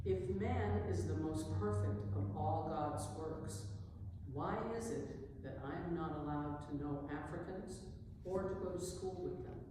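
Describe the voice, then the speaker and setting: Woman reading as earnest solemn poem voice, low n slow, formal, noisy echoey hall. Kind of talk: dramatic poetry reading. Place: echoing indoor hall. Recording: echoey hall, distant mic, clear noise.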